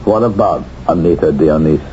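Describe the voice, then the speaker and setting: An actor playing a guilt-ridden former secret police colonel living as a gentle professor, anguished and troubled, in 1970s radio drama style. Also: male voice, a bass voice, moderate pace. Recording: muffled old radio broadcast, faint hiss.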